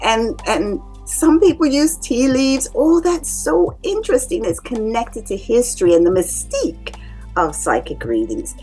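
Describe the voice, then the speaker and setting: A woman who works as a psychic reader and medium, explaining in a calm, measured way to her viewers. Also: fairly high pitch, low-quality recording. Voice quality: calming voice. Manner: calm, measured explaining with lively rises and drops. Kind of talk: casual explanatory talk to camera. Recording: faint music behind. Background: faint music.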